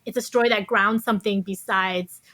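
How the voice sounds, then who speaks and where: Woman, podcast guest. Reflective, explanatory, conversational, mid-pitched, very dry recording. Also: steady tone, moderate pace, bright, forceful tone, no echo, rough recording. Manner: reflective, explanatory, often says "you know". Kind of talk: thoughtful interview conversation.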